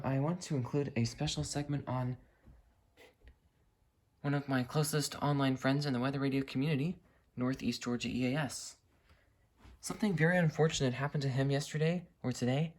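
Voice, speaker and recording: Casual male hobbyist vlogger, mid-pitched voice. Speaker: hobbyist vlogger demonstrating radio gear. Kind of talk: casual unscripted demonstration.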